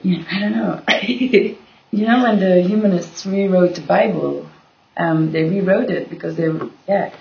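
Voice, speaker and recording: A woman's voice: lowish voice, measured pace, vocal fry. A woman from Belgium in a casual group discussion, thinking aloud with frequent restarts and "you know". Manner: searching, restarts often, lots of "you know". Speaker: discussion participant. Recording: dull lo-fi room recording.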